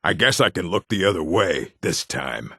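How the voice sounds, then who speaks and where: An actor playing a gruff, aggressive orc warrior in a video game, with a rough, gravelly voice and a blunt, menacing manner. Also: male voice, sits low, lively intonation, moderate pace, a bit bright, dry recording, studio quality, heavily acted. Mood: gruff, wary. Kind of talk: video game character barks.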